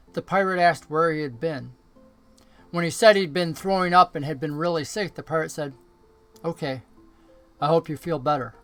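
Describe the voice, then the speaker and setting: A man with a fairly high voice narrates a story expressively. He reads scripted prose aloud, with music behind him. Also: fairly bright tone. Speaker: story reader, pastor.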